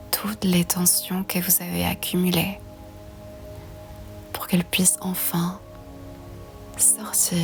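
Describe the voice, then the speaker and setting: A woman leads a guided yoga relaxation in a soft, breathy half-whisper. Her delivery is very slow and gentle, with hardly any rise or fall in pitch. Faint music plays behind her.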